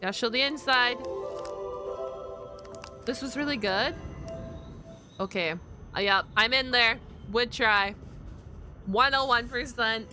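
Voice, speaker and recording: A woman doing casual, chatty reaction commentary, exclaiming and thinking out loud in an informal way. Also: a little high, takes it slowly, pauses often, music in the background. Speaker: reaction video streamer and host.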